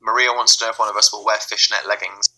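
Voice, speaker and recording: A man's voice: on the low side, flat delivery, quick pace, pushed and bright, rough recording. A man, joking around with dry, sardonic banter as co-host of a casual live stream. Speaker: livestream co-host.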